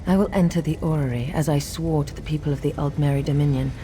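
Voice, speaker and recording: An actress playing a solemn, resolute elven queen in a fantasy game, speaking in a formal, stately, measured way. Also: deep voice, fairly even intonation, dark tone, a little hiss or hum, natural voice. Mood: resolute, urgent. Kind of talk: scripted fantasy game voice acting. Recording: music behind the voice.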